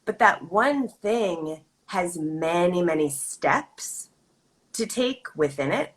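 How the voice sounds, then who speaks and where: A woman hosting a live video chat, thoughtful and measured, asking her guest questions. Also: fairly low pitch, expressive, poor audio. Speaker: host. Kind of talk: casual live-stream interview.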